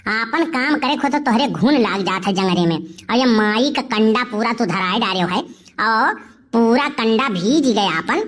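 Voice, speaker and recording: A woman's voice: on the high side, expressive, moderate pace. A fierce village matriarch with an Awadhi accent , acted by a woman in a comedy cartoon. She scolds, curses and threatens in an earthy, combative way. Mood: angry scolding. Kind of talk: comic cartoon dialogue.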